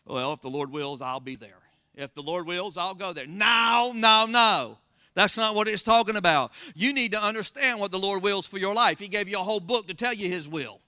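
A pastor with a Southern Florida accent preaching a sermon, folksy and calling out to the congregation for responses. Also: male voice, high voice, lively intonation, normal speed, sharp tone, dry recording, studio quality. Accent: Floridian Southern American. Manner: call-and-response, folksy, rising to emphatic exclamations.

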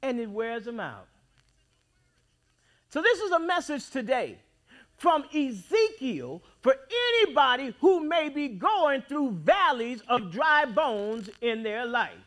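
A man preaching a sermon in a church, with dramatic swings between loud outbursts and quiet, drawn-out phrases. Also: extremely high pitch, big pitch swings. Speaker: pastor. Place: church sanctuary.

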